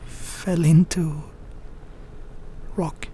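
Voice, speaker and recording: An actor playing an earnest, haunted first mate in a radio drama. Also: male voice, on the high side, little pitch movement, mellow, a little hiss or hum. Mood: shaken, grieving. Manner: halting, broken phrases when recounting.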